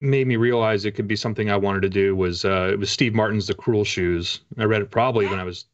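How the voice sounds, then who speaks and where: Low-voiced middle-aged American man. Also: steady tone, quick pace. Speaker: author, interview guest. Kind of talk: casual interview chat. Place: home room with bookshelves, indoors. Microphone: video call. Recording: dull, muffled video-call audio.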